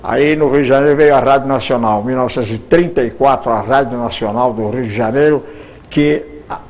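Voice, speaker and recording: A man's voice: medium pitch, brisk, darkish tone. An older Brazilian man, a radio broadcaster, answering interview questions at length, often repeating words as he searches for them.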